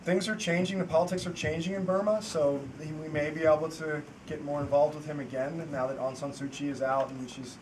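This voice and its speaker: A man's voice: on the high side, steady tone, brisk, few pauses. Man, filmmaker, panel Q&A, rambling, earnest, distant mic, audible room noise. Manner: rambling, earnest, with many restarts. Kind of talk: panel Q&A answers. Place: festival screening hall.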